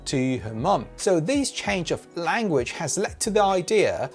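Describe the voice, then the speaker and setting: A man, explaining to camera in a home studio with animated emphasis. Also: a little high, measured pace, faint music behind. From Cyprus. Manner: animated, gesturing, rising emphasis on key words. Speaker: educational YouTuber. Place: small decorated home studio, indoors.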